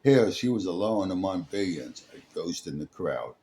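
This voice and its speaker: A man reading a dramatic scripted story as its narrator, in a halting way, sometimes stumbling over the words. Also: deep voice, lively intonation, mellow. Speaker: storyteller, host.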